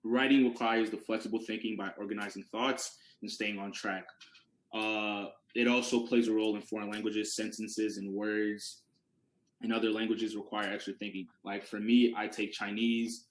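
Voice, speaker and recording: A man's voice: fairly low pitch, little pitch movement, normal speed, roomy sound, low-quality recording. A young man whose first language is Yoruba, giving a casual classroom presentation as a student presenter and talking it through with rhetorical questions. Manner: conversational, restarts words, asks rhetorical questions.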